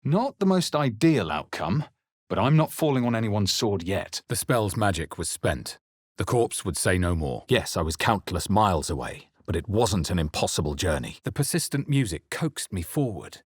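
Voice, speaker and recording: Brief: wry, haunted fantasy hero for a video game, slightly low husky voice, dramatic storytelling delivery. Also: male voice, very animated, moderate pace, dry recording, clean studio sound. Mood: wry, observant. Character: male adventurer hero, wry, haunted, narrating his journey. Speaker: video game player character voice. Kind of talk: dramatic game voice lines and narration.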